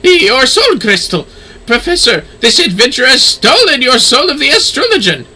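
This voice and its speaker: Guy doing a panicky dramatic teacher game character, way high, all over the place. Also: very animated, moderate pace, bright, forceful tone, husky, heavily acted. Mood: alarmed. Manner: stammering, dramatic. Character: flustered, excitable, easily shocked astrology teacher. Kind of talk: game dialogue read-aloud.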